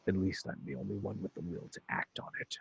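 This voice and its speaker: A man playing a calm, menacing alien warlord and father in an amateur script read, speaking in a measured, patronizing way. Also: low voice, creaky, muffled recording, slight background noise. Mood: insistent, cold.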